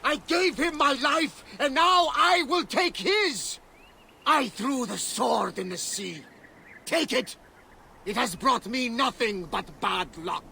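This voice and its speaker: An actor playing a proud, bitter runaway Thracian gladiator in a video game, blunt and defiant in manner. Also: male voice, very high-pitched, lively intonation, slow pace, gravelly, pushed and bright, a little hiss or hum, exaggerated voice. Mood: defiant, furious.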